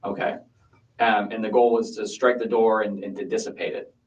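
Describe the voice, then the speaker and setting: A male homicide investigator questioning a suspect in a police interview, haltingly repeating words as he reasons. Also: lowish voice, level tone, lo-fi sound. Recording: distant interrogation room microphone.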